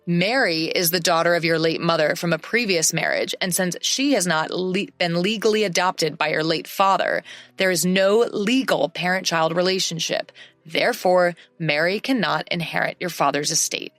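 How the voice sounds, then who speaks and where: A calm lawyer laying out the facts in an even tone, as a woman narrator reads him. The voice is lowish and dry. Soft music plays behind. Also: normal speed, no room sound, lightly acted. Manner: calm, explanatory. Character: calm adult male lawyer.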